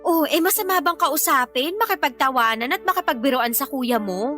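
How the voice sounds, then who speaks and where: High-pitched, exasperated young Filipina partner. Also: female voice, animated, normal speed, sharp tone, soft background music. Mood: defensive, annoyed. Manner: sarcastic, scolding. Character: irritated, defiant young mother scolding her jealous live-in partner. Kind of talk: radio drama acting.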